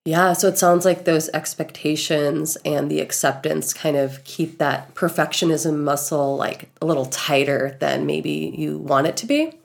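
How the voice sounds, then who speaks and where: A woman co-hosting a casual podcast in a fairly low voice with plenty of fry. She sounds slightly nasal, recovering from an illness with a cough, and talks in a reflective way. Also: measured pace, few pauses. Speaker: podcast co-host, healing coach and speaker.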